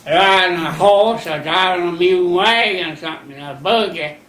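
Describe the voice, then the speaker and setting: Folksy ninety-year-old man, high bright voice. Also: echoey room. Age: 90s. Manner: folksy, unhurried storytelling with plain rural grammar. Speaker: interviewee. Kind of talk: rambling recollection.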